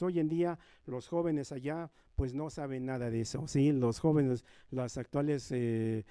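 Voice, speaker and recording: A man's voice: medium pitch, dark tone, smooth, no echo. A middle-aged man from Milpa Alta, a native Nahuatl speaker with a Mexican Spanish accent, giving an earnest public talk that often checks in with the audience. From Milpa Alta, Mexico City. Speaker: invited community speaker.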